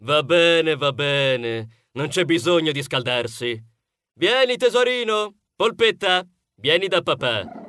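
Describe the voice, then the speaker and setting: Brief: boastful, condescending rodent neighbour for a children's storybook, performed by a man; highish, swooping delivery, cutesy and mocking, recorded close and dry. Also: lively intonation, slow, a bit bright, no echo. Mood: soothing, cutesy.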